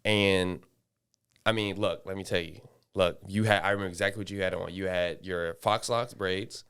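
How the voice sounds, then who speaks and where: Deep, smooth-voiced young man. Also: fairly even intonation, normal speed, a bit bright. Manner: rambling, many restarts and fillers. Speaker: podcast host. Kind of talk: casual chat.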